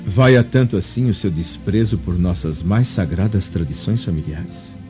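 An actor playing a proud, domineering, wrathful Roman senator in a radio drama, pompous and scornful toward those around him. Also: male voice, sits low, normal speed, natural voice. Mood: cold scorn. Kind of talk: old-fashioned radio drama acting. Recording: dull lo-fi radio drama with music.

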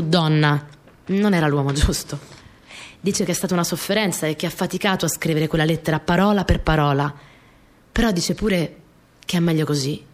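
An actress playing a small, earnest, easily flustered young wartime partisan courier, telling her story in an intimate, expressive radio drama monologue. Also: fairly low pitch, natural voice.

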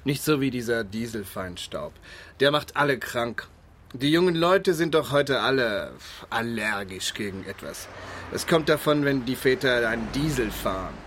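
An actor playing an opinionated, grumbling smoker in a radio play, insistent in manner. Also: male voice, mid-range voice, lively intonation, moderate pace, a bit bright.

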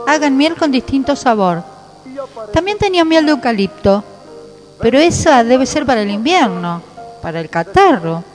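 Chatty Argentine woman, intrigued, higher voice, reverberant, faint music, radio drama. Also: a little mellow. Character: chatty, intrigued woman at breakfast with her partner. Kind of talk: radio drama acting, conversational.

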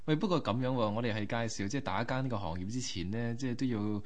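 A male co-host with a medium-pitched voice chats about history. His Hong Kong Cantonese is colloquial and sprinkled with exclamations. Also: quick pace. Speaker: radio co-host. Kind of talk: conversational history talk.

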